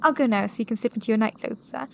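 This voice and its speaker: A woman plays a high-voiced young lady in a period audio drama. She is kind, proper and solicitous, speaking politely over faint background noise. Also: very fast, creaky.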